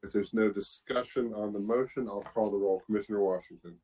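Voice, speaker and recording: A man with a fairly low voice chairs a public board meeting. He handles procedure in an orderly way, with an informal touch as he hands the floor to others. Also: fairly even intonation, brisk, dark tone, breathy edge, rough recording. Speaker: planning board chair presiding over meeting.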